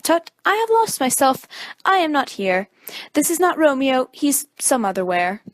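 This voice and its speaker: A woman's voice: sits high, big pitch swings, normal speed, no echo. A woman performing a lovesick, melancholy young nobleman in an amateur Shakespeare reading, with plaintive, theatrical delivery.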